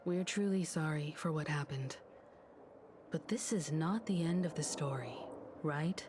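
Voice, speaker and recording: A sympathetic, composed woman from a video game. Her voice sits a little low and she speaks in a measured, earnest way over clear background music. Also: darkish tone. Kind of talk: video game story dialogue. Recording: music behind the voice.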